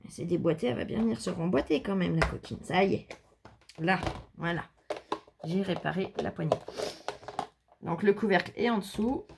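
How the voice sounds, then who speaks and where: A woman's voice: lowish voice, normal speed, creaky, pauses often. Woman doing a craft haul vlog, chatty, reads off color names, little jokes at herself, faint background hiss. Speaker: scrapbooking vlogger. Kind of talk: casual haul video, showing products. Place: indoors, shutters closed against the heat.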